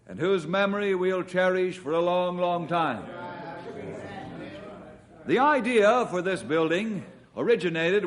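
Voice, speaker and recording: A man playing a steady, friendly adult forest ranger in an old radio drama, speaking earnestly and courteously as master of ceremonies. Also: high-pitched. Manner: earnest, courteous, formal speechmaking. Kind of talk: 1950s radio drama acting.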